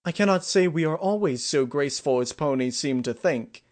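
A poised royal pony mare performed by a man for a storybook. The voice is lifted high and speaks formally, with a genteel, fond elder-sister manner. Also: expressive, normal speed, lightly acted. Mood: fond, amused.

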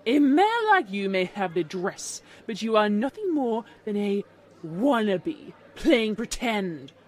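A woman's voice: medium pitch, sing-song, slow, a little mellow. A woman voicing a cruel, snobbish upper-class female unicorn who sneers with haughty contempt in a cartoon-style story. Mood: mocking.

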